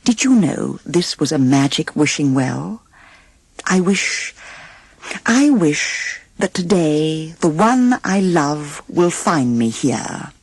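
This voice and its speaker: A woman voicing a sweet, gentle young fairy-tale princess, tender and innocent. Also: low voice, big pitch swings, slow, breathy edge, dry recording, exaggerated voice. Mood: wishful.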